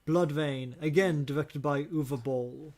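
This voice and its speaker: A man co-hosting a casual podcast about movies, talking in halting, hesitant phrases with casual swearing. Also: on the high side, fairly even intonation, darkish tone. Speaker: podcast co-host.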